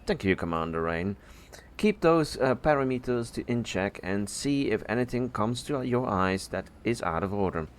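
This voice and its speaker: A man playing a commanding female starship captain in an audio drama, with measured, courteous authority. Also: fairly low pitch, lively intonation, slight background noise, close to an everyday voice. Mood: composed, courteous.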